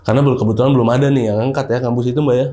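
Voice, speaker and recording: Young man, relaxed host, warm mellow voice, slangy casual chat. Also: medium pitch, racing. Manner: relaxed, chatty, uses Jakarta slang. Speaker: podcast host. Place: studio.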